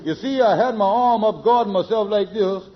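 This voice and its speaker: A muffled old radio comedy recording of a hapless schemer. His voice sits fairly high, and he blusters and boasts in folksy, ungrammatical talk, always angling for an advantage. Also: a little fast. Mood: defensive boasting. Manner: blustery, folksy nonstandard grammar. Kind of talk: 1940s-50s radio sitcom acting.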